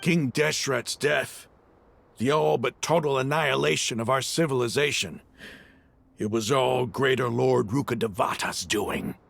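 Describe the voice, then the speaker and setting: A man playing a proud, bitter desert mercenary leader in a fantasy game, gravelly and emphatic in his drama. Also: mid-range voice, measured pace, a bit bright, heavily acted.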